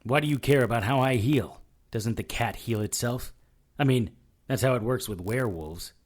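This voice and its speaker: An actor playing a wisecracking paranormal detective in an audio drama, with a sardonic, hard-boiled, dramatic delivery. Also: male voice, mid-range voice, moderate pace, lightly acted. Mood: indignant. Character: wisecracking male paranormal detective narrating his own ordeal. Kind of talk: audio drama narration and dialogue.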